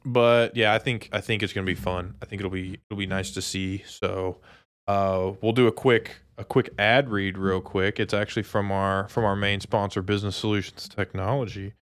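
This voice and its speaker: Male podcast co-host, low voice, dry close sound, informal and slangy sports chat. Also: steady tone, normal speed, a bit bright, no room sound. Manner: informal, slangy buddy talk.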